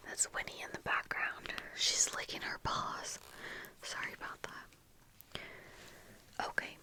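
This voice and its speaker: A woman in her thirties whispering softly and breathily in a casual ASMR chat, unhurried and pausing, close to the microphone in a small room. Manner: soft, unhurried, pausing while doing makeup. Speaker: ASMR video creator. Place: small dim indoor room with bookshelves. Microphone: close fur-covered microphone on desk, monitored on earphones.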